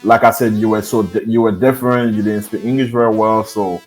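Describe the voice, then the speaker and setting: A deep-voiced Haitian man in his thirties speaks as a podcast guest. He talks softly and haltingly, with plenty of fillers, and faint background music sits under his voice. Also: steady tone, poor audio. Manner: soft-spoken, hesitant with many fillers. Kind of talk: interview, personal storytelling.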